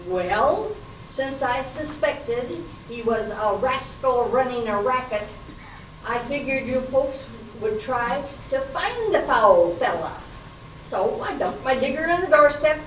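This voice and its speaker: A woman's voice: sits high, lively intonation. Woman acting a plucky homeowner, echoey and distant. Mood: plucky, proud. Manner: deliberate alliterative recitation. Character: plucky, matter-of-fact female homeowner in comic radio play. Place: hall at a live arts festival performance. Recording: echoey, distant, noisy dull live recording.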